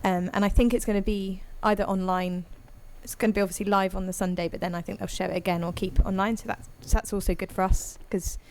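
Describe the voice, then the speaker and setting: A woman's voice: medium pitch, level tone, quick pace, hardly any pauses, slight background noise. A singer-songwriter, a woman, chatting casually and rambling with many ums as a guest on a live audio room call. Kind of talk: casual chat after a live set.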